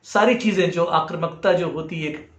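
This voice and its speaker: An Indian man giving an explanatory music lesson in a halting, reflective way, dropping English phrases into his talk. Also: on the high side, a bit bright, muffled recording. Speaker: music teacher. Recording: roomy, echoing sound.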